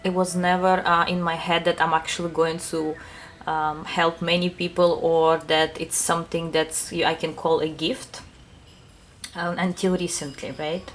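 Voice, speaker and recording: A woman with a Russian accent, a tarot reader answering her viewers' questions in a soft, unhurried casual talk full of small fillers. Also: fairly low pitch, little pitch movement, a little hiss or hum.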